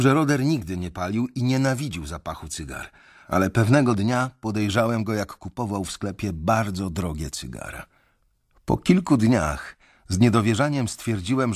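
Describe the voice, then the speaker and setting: A disillusioned young bank analyst recalls his story in a measured, reflective radio drama narration. His voice sits low, with a husky, breathy texture. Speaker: first-person narrator.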